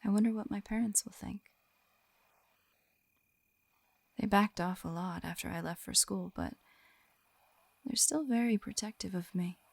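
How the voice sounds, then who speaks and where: Soft whispery, creaky young lamia woman, acted by a woman. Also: no room sound. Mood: gentle, musing. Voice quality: soft, breathy whispering mixed with low murmurs. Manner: hesitant, tender, reassuring. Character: gentle, shy, affectionate lamia woman on first date. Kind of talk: intimate ASMR roleplay, soft-spoken and often whispering. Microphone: close-up microphone, dry sound.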